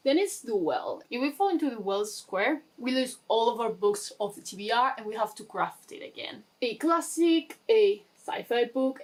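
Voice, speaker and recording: Woman, fairly high pitch, casual vlogger chat, informal, self-interrupting asides. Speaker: book vlogger, host. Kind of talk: casual chat explaining a reading game.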